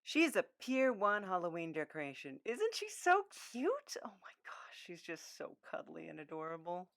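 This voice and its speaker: Woman, casual and gushing, with gravelly fry and a lively, swooping delivery. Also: on the low side, normal speed.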